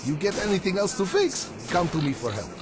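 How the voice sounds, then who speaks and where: Animated, high-pitched gruff repairman. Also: brisk. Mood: proud, eager. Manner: colloquial, swearing, grumbling. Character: gruff, griping male repairman in a post-apocalyptic camp. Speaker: mechanic character. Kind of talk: video game character dialogue. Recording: music behind the voice.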